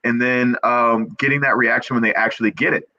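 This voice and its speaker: A man's voice: mid-range voice, fairly even intonation, brisk, bright, forceful tone, no echo, lo-fi sound. A young man, a perfumer guest on a video call, talking earnestly and eagerly, full of restarts and fillers. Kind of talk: casual interview chat.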